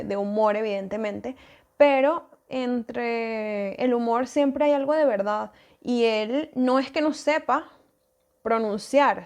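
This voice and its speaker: Venezuelan woman, podcast host, relaxed thinking-aloud delivery, slightly high-pitched. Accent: Venezuelan Spanish. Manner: thoughtful, with many fillers and restarts. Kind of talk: casual solo podcast talk.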